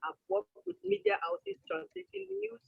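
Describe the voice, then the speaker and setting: A woman's voice: extremely high pitch, steady tone, normal speed, creaky, dry recording. A young Nigerian woman whose first language is Yoruba introduces herself as a lecturer on a video call, hesitating now and then as she speaks. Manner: hesitant, with fillers and restarts. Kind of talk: self-introduction at an online conference. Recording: tinny phone-like line.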